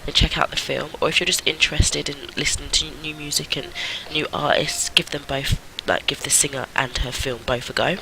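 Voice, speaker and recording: Guy with a cold, kinda high voice, chatty film vlog, laughs at himself, noisy recording with clicks. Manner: chatty and self-mocking, laughs often. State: has a cold. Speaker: film review vlogger. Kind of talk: casual monthly film wrap-up.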